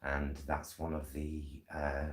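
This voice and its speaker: A man's voice: rumbling low, monotone, slow. A British man chatting casually in a rambling, hesitant way, as a vlogger talking at home. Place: indoors at home. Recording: echoey room.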